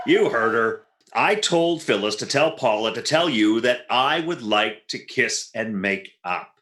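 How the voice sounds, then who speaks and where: A man's voice: medium pitch, animated, a little slow, a bit bright, a little hiss or hum. A man playing a jealous, exasperated husband in a 1940s-style radio comedy, sarcastic and huffy. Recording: roomy, echoing recording.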